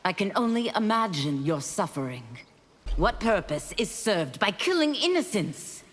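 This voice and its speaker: A woman's voice: medium pitch, big pitch swings, measured pace. An actress playing a composed, righteous warrior woman in a fighting game, earnest and reproving. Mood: grave, reproving. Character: righteous, composed female warrior, morally firm. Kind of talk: fighting game character dialogue. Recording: reverberant hall, loud background noise.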